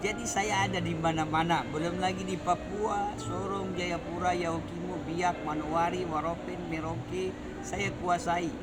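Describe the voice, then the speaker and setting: A man's voice: high voice, fairly even intonation, moderate pace, loud music behind the voice. A middle-aged man from Aceh with an Acehnese accent colored by Medan and Malay speech, giving an earnest personal testimony in conversation. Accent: Acehnese with some Medan and Malay dialect. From Aceh, Indonesia. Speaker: guest telling his conversion story.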